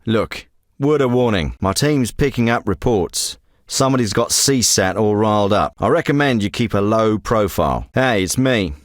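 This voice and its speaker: An actor voicing a tough, curt special forces handler in a military video game, giving orders in a clipped, commanding way that turns profane under pressure. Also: male voice, lowish voice, lively intonation, normal speed, no echo, clean studio sound, close to an everyday voice. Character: tough covert ops handler, middle-aged man, curt. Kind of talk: game voice acting.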